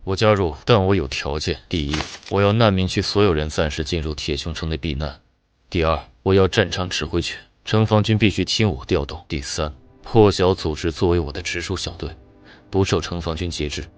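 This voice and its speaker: A man voicing a hardened, defiant, world-weary young ex-soldier in an animated comic drama, speaking in terse, unhurried, commanding lines. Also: sits low, natural voice. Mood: firm, demanding. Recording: music behind the voice. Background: dramatic music.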